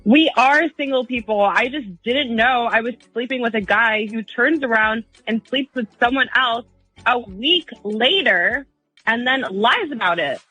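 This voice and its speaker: A young woman with an American accent and a husky voice, speaking bluntly as a radio phone-in caller. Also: on the high side, measured pace, sharp tone, no echo, soft background music, poor audio.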